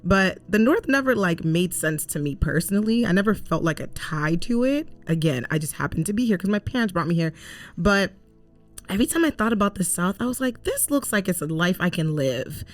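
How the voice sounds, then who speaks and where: Low-voiced earnest young woman. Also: animated, fairly quick, faint music behind. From Cameroon, raised in Canada. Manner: earnest, preachy, emphatic. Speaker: co-host. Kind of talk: casual faith podcast chat.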